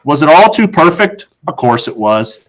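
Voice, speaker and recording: A man reading a children's story as expressive scripted narration, dramatic and with deliberate pauses. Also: fairly high pitch, low-quality recording. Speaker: audiobook narrator.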